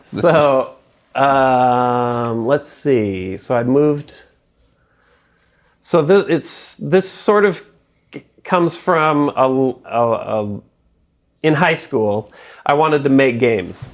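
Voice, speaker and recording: A man's voice: medium pitch, extremely slow, long pauses. A man in his forties, a guest in a casual interview, talking in a rambling, self-deprecating way and laughing often. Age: 40s.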